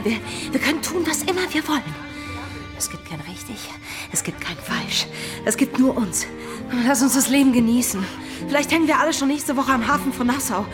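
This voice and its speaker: Bold young pirate leader lady, highish voice, sure of herself and teasing, audio drama w music under it. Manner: assured, persuasive, teasing. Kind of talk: German audio drama acting.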